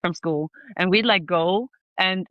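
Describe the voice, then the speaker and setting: A woman's voice: lowish voice, measured pace, no echo, muffled recording. A young woman from the south of Sweden, a podcast guest who speaks English with a Swedish accent. She chats casually, says "like" constantly and swears freely. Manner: chatty, fills every sentence with "like", swears freely. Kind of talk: casual chat. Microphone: remote call.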